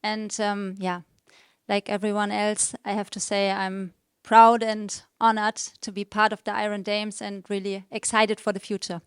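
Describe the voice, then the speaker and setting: A woman in her forties introduces herself sincerely at a press event. Her voice is clear and smooth at a middle pitch, recorded close and dry. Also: level tone, measured pace, no echo. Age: 40s. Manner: sincere brief self-introduction. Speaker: show jumping rider.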